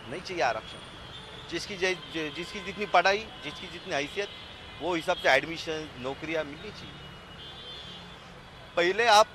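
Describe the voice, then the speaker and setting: High-voiced man in loud street noise. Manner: hesitant, restarting, emphatic. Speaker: interviewee. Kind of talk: street interview opinion. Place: outdoors on a city street.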